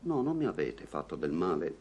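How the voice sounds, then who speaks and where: An actor playing an awkward, earnest gentleman newly in love, speaking theatrically in a vintage Italian radio comedy. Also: deep voice, very animated, moderate pace, breathy edge, exaggerated voice. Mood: troubled, tender. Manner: theatrical, hesitant declarations.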